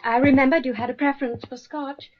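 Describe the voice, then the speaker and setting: An actress playing an anxious, affectionate genteel colonial wife, polite and confiding, in a radio drama. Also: female voice, sits high, steady tone, fast, slight background noise, natural voice. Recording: dull lo-fi old radio recording.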